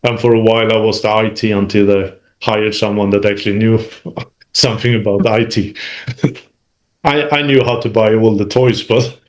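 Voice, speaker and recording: A man's voice: fairly low pitch, fairly even intonation, normal speed. Man recounting his career. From Sweden. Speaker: interview guest, manufacturing executive. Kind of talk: recounting career experience in conversation.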